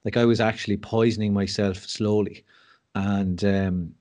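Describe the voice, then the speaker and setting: A man's voice: fairly low pitch, fairly even intonation, measured pace, a little mellow. An Irish man speaking as a podcast guest, telling his story candidly with frank, self-deprecating humour and lots of fillers and restarts. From Ireland.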